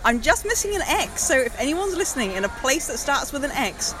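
A woman with an English accent, chatting excitedly in an outdoor interview at a park event. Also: high-pitched, a little fast, over music, rough recording. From England. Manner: bubbly, enthusiastic. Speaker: interviewed parkrunner.

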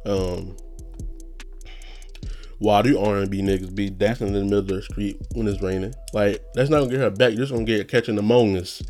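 Young dude just rambling, kinda nasal, low mellow voice, cusses a lot and laughs. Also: male voice, steady tone, brisk, faint music behind. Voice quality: slightly nasal. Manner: loose, rambling, profane, laughs often. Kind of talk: casual monologue.

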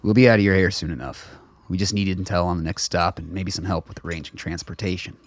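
An actor playing a calm older father on the run in an audio drama, speaking in a measured way. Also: sits low, no echo.

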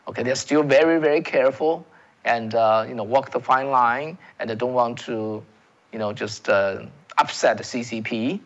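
A man's voice: mid-range voice, normal speed, lo-fi sound. A man from China, a native Chinese speaker with a Chinese accent, hosting solo political commentary. He talks emphatically, often repeating words and restarting phrases, and calls his viewers "folks.".

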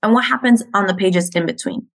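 A woman teacher talks earnestly in an interview, walking through how a reading program works. She grew up in Mexico. Her pitch sits in the middle range. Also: brisk. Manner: earnest, explanatory. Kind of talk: interview conversation.

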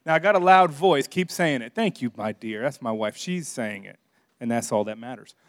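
A man's voice: medium pitch, normal speed, no echo, studio quality. A man preaching through casual anecdotes in a joking, self-deprecating way. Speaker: preacher, also a school principal.

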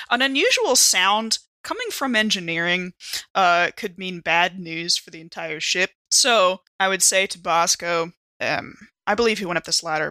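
A tabletop player talking through her character's moves in a casual, giggly way. The voice is bright and forward, recorded dry and close. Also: mid-range voice, no echo. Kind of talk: casual tabletop game play.

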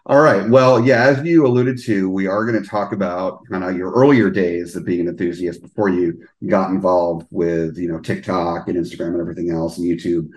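Low-voiced rambling podcast host, echoey. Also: male voice, fairly even intonation, fairly quick, few pauses, a little mellow. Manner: rambling, many restarts and fillers. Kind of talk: casual interview chat.

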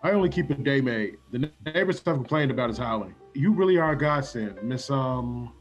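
A man playing an offbeat, polite and unruffled gentleman dog owner in a comedic radio play. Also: medium pitch, animated, a little fast, muffled recording, faint music behind, close to an everyday voice.

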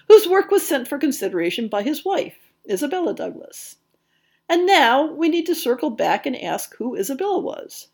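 A woman with a fairly high voice narrates a scripted history piece as a podcast host. Her intonation is very animated, rising and dipping across phrases, while her delivery stays measured and careful, like prepared storytelling.

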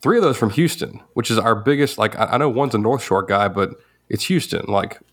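A man's voice: on the low side, expressive, brisk. A man, co-hosting a sports podcast, rambling with many restarts. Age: 20s. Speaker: podcast co-host. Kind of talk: casual sports chat.

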